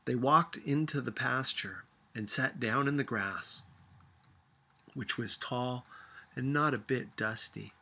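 Male reader for literary audiobook. Husky edge, bright tone, measured scripted narration. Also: mid-range voice.

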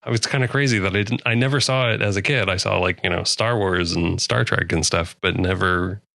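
Male host, low and bright voice, easygoing, dry sound. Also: fairly quick, vocal fry, dry recording. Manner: relaxed, self-deprecating, laughs often. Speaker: podcast host. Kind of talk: casual chat.

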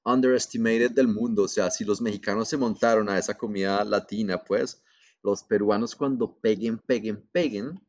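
A young Colombian man with a Colombian accent hosting a podcast, telling a travel story in a casual, profane, animated way and laughing along. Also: fairly low pitch, moderate pace, dull audio. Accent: Colombian Spanish. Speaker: podcast host.

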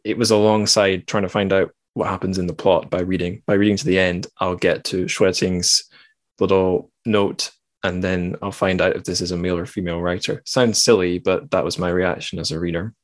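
Male host, deep register, very dry sound, relaxed conversational phrasing, reflective book-talk style. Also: dry recording. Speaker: podcast host. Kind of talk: casual, reflective book discussion.

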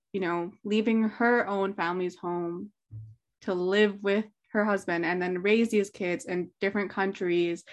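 A woman guest in a podcast roundtable, answering in a thoughtful, earnest way. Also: mid-range voice.

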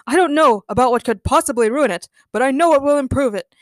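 A woman's voice: sits high, quick pace, no echo, pitched above the performer's own voice, close to an everyday voice. A woman voicing an earnest young man in love, an eager and romantic suitor in a story.

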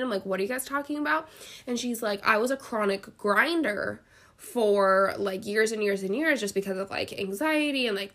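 Bright-voiced, stuffy woman podcast host. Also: medium pitch, vocal fry. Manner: chatty, filler-heavy, quotes people animatedly. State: stuffy from a head cold. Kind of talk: casual conversational storytelling. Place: bedroom, sitting on a bed.

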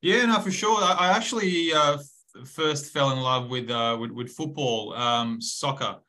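Aussie guy on a podcast, friendly, bright voice, lots of ums. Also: mid-range voice, a little slow. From Croatia/Serbia, raised in Australia. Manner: friendly, frequent fillers. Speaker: podcast guest. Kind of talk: casual interview.

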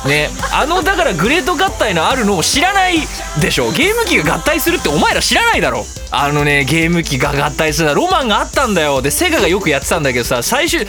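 A man in his thirties hosting a casual, comedic solo radio talk, rambling in an animated, jokey way with exclaimed asides. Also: high voice. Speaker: radio show host.

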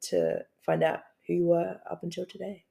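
A woman's voice: fairly low pitch, very animated, moderate pace, gravelly, mellow. A woman co-hosting a casual podcast interview, chatty and laughing often. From Australia. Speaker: podcast co-host.

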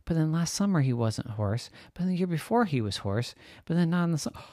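A man's voice: fairly high pitch, animated, fast talker, dark tone, dry recording, voice pushed up, lightly acted. A jolly storybook father with a raised, bouncy sing-song voice that swoops up and down, as a man puts him on. Close and dry. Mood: playful. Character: playful, loud, easygoing dad.

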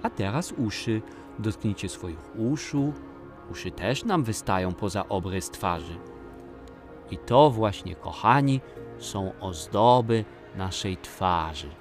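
A man's voice: fairly low pitch, expressive, takes it slowly, music in the background. A man playing a friendly grown-up guide in a children's audio play, explaining things directly to young listeners in a didactic way. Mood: instructive. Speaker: storyteller guide.

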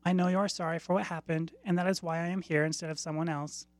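A woman reading the part of a calm, compassionate female spirit of death in a short story, consoling and gentle. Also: fairly low pitch, quick pace, no room sound, close to an everyday voice.

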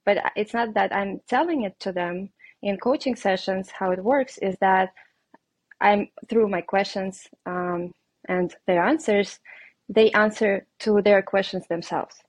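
A woman's voice: medium pitch, measured pace, dry recording. A woman from Russia with a Russian accent, speaking English as a guest coach in an interview, hesitating often with ums. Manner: hesitant, many ums, laughs lightly. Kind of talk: casual interview answers.

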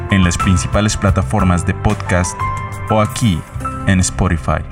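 Very deep male announcer over music. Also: fairly even intonation, measured pace. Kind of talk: scripted promo voice-over. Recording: slightly distant, loud music behind. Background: loud music.

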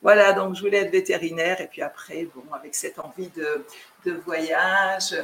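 Hesitant woman with a gravelly voice. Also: lowish voice, fairly even intonation, normal speed, fairly bright tone, a little hiss or hum. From France. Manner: hesitant, full of fillers and restarts. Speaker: live-stream host. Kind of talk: casual live-stream chat with viewers.

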